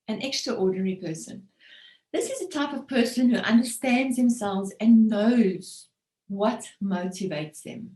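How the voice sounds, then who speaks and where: A woman presenter delivers a motivational talk to a group. She speaks in a slightly high, earnest voice and hammers home each point. The room echoes around her. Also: a little mellow. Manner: earnest, repeats points and checks in with "okay?". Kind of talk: motivational talk on an online call.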